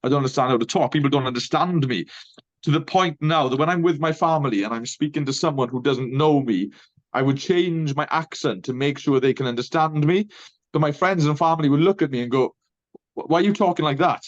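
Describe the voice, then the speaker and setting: A man's voice: on the high side, brisk, dull audio. A middle-aged man from Wales with a Welsh accent, a native Welsh speaker, talking earnestly as an interview guest. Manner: earnest, reflective, says mate often. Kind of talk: casual interview chat.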